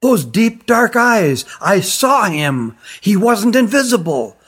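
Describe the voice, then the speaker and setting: Eager young heroine, read by a man, high, breathless exclamations in a storybook. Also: sing-song, slow, fairly bright tone, husky, exaggerated voice. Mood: excited.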